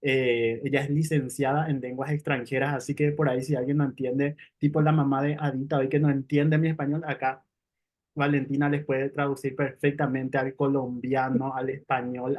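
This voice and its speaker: A man's voice: medium pitch, level tone, moderate pace, darkish tone. Man introducing guests, friendly and chatty. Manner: friendly, keeps adding a questioning tag. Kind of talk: casual talk-show introduction.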